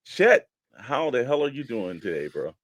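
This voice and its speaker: A man's voice: mid-range voice, sing-song, moderate pace, no room sound. Dry-sounding, laid-back male show host. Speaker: co-host of a toy collecting show. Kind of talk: casual radio show chat and hosting.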